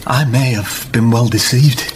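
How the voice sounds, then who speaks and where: An actor playing a sly, pious false friend in a period radio drama, with measured, formal, sanctimonious speech. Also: male voice, medium pitch, slight background noise, natural voice. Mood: accusing. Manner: measured, sanctimonious, formal old-fashioned phrasing.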